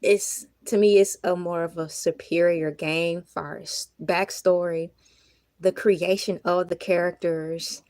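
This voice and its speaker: A woman hosting a casual livestream, talking earnestly to her viewers with a slightly hoarse voice. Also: fairly low pitch, fairly even intonation, slow pace, darkish tone, dry recording. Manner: chatty, earnest, reflective, laughs often. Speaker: livestream host.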